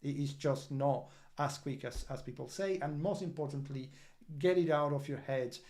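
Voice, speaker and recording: A man who is not a native English speaker hosts a crypto project livestream update, answering viewer comments in an earnest, halting way with many fillers and restarts. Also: medium pitch, darkish tone. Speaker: crypto project team member hosting an update.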